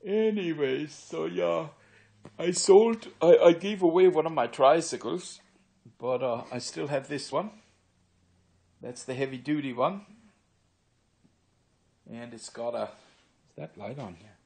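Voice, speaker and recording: A man of sixty with a Pennsylvania Dutch accent, vlogging in a rambling, unhurried way with many filler pauses, recorded on a handheld phone in his room. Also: a little high, creaky, darkish tone. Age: 60s. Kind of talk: casual walk-through monologue. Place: his own room indoors. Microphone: phone camera held by speaker.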